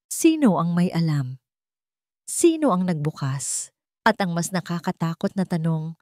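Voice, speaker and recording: A woman's voice: fairly low pitch, darkish tone, dry recording, studio quality. A woman giving a scripted, dramatic narration of a romance story.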